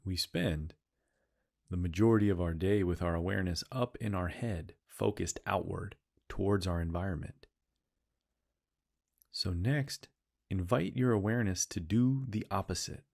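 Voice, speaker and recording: Man, meditation guide, very low voice, gravelly, slow soothing delivery. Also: a little mellow. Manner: slow, soothing, drawn-out phrases with repeated cues.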